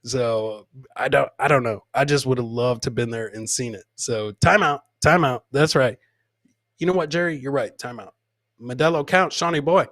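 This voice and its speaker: An American man hosting a comedy podcast, loudly commenting on funny clips in a boisterous way, swearing freely and throwing out catchphrases. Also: mid-range voice, moderate pace, dry recording, clean studio sound.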